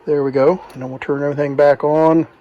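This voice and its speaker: A man's voice: fairly high pitch, little pitch movement, fast talker, dull audio, a little hiss or hum. A man casually demonstrating and explaining a repair, plainspoken and step by step, as a hobbyist host. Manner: plainspoken, talks through each step.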